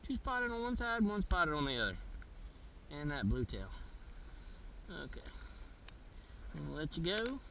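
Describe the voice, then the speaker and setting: A man's voice: fairly high pitch, very animated, normal speed, vocal fry, long pauses, sharp tone. A man narrating his own fishing in a casual, exclamatory way, talking to himself and repeating his phrases, recorded outdoors on an action camera. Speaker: kayak angler filming his catch. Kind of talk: casual excited self-narration while fishing. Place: outdoors on a kayak in a marsh. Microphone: action camera, a little off from the mouth. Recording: wind-noisy outdoor action camera, distant mic.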